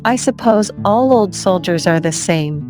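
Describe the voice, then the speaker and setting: A woman's voice: on the low side, animated, unhurried. Sceptical older wife acted by a woman over music. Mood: dismissive. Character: sceptical, practical old wife and mother. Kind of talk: dramatised audio story acting.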